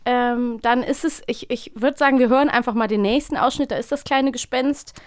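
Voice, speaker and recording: High-voiced woman, gushing podcast chat. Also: fairly even intonation, fairly quick. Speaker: podcast co-host. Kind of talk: casual chat.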